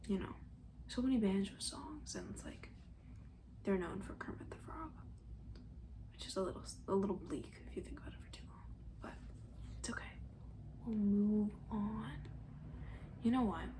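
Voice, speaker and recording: A woman doing soft-spoken ASMR roleplay as a camp counselor, chatting in a gentle, breathy, rambling way that often slips into a whisper. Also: medium pitch, sing-song, normal speed, pauses often, poor audio. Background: banjo.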